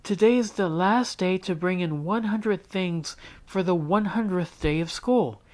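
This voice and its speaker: A man voicing a worried young schoolgirl in a storybook read-aloud, with a high, fretful tone. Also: a little slow, darkish tone.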